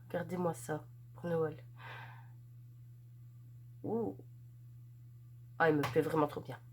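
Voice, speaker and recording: A woman talks through an unboxing in a loose, chatty way. She is stuffed up from a head cold. She gushes over what she shows and fills her pauses with fillers. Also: sits low, fairly even intonation, a little hiss or hum. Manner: chatty, gushing, filler-heavy. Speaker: crafts channel host. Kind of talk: casual unboxing chat.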